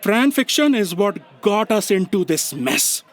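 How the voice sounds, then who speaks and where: Snippy officious aide, super high voice, animated and sarcastic, sci-fi radio comedy. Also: male voice, a little slow, some chatter behind. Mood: exasperated. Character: fussy, sarcastic copy aide, officious rule-keeper. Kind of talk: audio sci-fi comedy acting.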